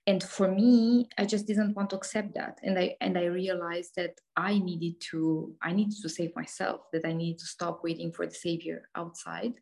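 Chatty Romanian woman, low voice. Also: fairly even intonation, moderate pace, gravelly, hardly any pauses, a little mellow. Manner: laughs often, many fillers and restarts. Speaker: podcast guest, coach. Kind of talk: casual interview talk.

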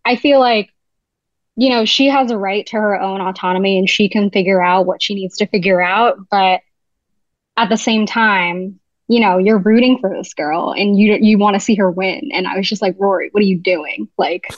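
A woman's voice: medium pitch, fairly even intonation, moderate pace, no room sound, lo-fi sound. Young woman, podcast guest, from New Jersey, chatty, many "like"s. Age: young adult. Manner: chatty, heavy use of "like". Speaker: guest writer. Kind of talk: casual interview chat.

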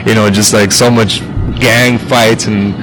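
A man's voice: fairly low pitch, level tone, a little slow, background noise. A man in his thirties, a musician guest on a podcast, talking casually and rambling with lots of fillers and restarts. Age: 30s. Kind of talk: casual interview chat.

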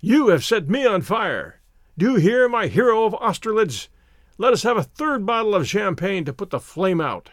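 A tipsy English gentleman, played by a male narrator from a storybook, hearty and reckless. He declaims in a high, very animated voice with a dry, close sound. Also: unhurried, dry recording, full character voice. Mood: wildly exhilarated.

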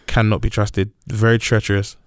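A man's voice: lowish voice, little pitch movement, normal speed, smooth, no room sound, studio quality. A young man with a London accent, a podcast guest chatting in a brash, joking way and repeating himself. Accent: London English. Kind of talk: casual chat.

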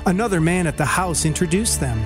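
A man's voice: sits high, lively intonation, fairly quick, loud music behind the voice. A man with an American accent reading scripted documentary narration as a storyteller.

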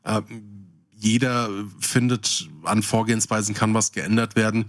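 A man's voice: fairly low pitch, level tone, unhurried. A man co-hosting a podcast, switching between casual chat and reading out short descriptions. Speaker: podcast co-host.

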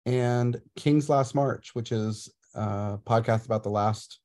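A man co-hosting a podcast with a mid-range voice and a cheerful, teasing way of talking. His delivery stays relaxed and conversational. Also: medium pitch, slow. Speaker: podcast co-host. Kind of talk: casual podcast chat.

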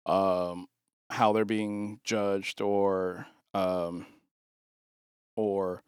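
A man with a Chicago accent co-hosting a podcast in halting, easy-laughing casual chat. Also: low-pitched, flat delivery, clean tone, no echo, studio quality. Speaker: podcast co-host.

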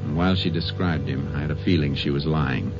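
An actor playing a steady, methodical Texas Ranger, narrating and questioning in a terse, matter-of-fact way in a 1950s radio western. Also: male voice, rumbling low, fast talker. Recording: muffled 1950s radio broadcast, music.

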